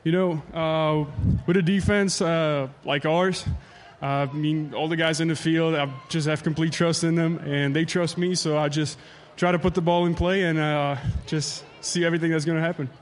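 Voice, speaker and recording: A young man whose first language is Czech, a pitcher answering postgame questions haltingly with many fillers at the ballpark. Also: fairly even intonation, a little hiss or hum. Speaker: baseball pitcher. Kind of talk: postgame interview. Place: ballpark outdoors.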